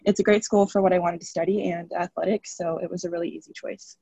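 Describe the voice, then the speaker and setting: A woman's voice: on the low side, fairly even intonation, fast talker, vocal fry, warm tone, breathy edge, dry recording, lo-fi sound. A woman in her twenties from Oregon, a student athlete chatting upbeat as a podcast guest, laughing often. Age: 20s. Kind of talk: podcast interview.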